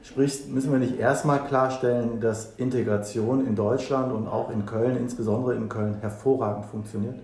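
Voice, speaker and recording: A man moderates a panel and asks pointed, probing questions. His voice has a mid pitch and a warm, dark tone, and the room echoes. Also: fairly even intonation, moderate pace, hardly any pauses.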